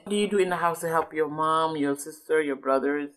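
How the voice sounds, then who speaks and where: A woman's voice: low-pitched, fairly quick, fairly bright tone. A woman reading aloud to a child as a parent, explaining things and checking understanding with questions.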